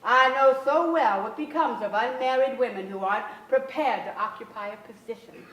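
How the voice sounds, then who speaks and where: A woman's voice: a little high, normal speed, a bit bright, echoey room, recorded from a distance, natural voice, rough recording. An actress playing an anxious, overbearing middle-aged mother in a staged drama, theatrical, fretful and emphatic. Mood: fretful, pleading. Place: large theatre stage with audience, indoors.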